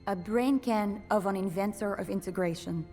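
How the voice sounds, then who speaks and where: A woman plays a commanding military officer in a cinematic game. She speaks at a medium pitch, formal and curt, over faint music. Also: moderate pace, warm tone, natural voice. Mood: measured, guarded. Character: stern, commanding special forces officer, adult woman. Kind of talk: cinematic video game acting.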